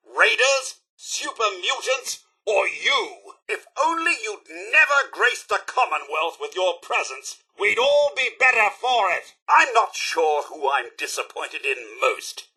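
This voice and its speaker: A man's voice: high voice, pushed and bright, slightly rough, exaggerated voice, rough recording. A man voicing a fussy, loyal robot butler in a video game, with a British accent and a formal, theatrical, dryly polite delivery. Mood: bitter disappointment. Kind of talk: scripted video game character lines.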